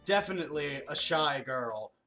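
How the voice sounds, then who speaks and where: A man's voice: fairly high pitch, lively intonation, moderate pace. A man casually bantering and reacting in short remarks. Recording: music playing behind the voice. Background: music.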